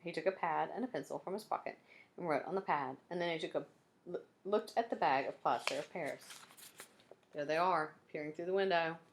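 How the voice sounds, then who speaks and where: Teacher reading a storybook aloud, low voice. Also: female voice, brisk, a little hiss or hum. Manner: adds friendly asides to the listeners. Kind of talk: children's read-aloud narration.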